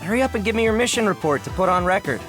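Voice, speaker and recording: Lightly pitched, laid-back young operator. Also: male voice, expressive, very fast, over music. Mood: bored, grumbling. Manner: weary, casual. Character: lazy, grumbling young male military operator. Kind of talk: anime-style video game voice acting.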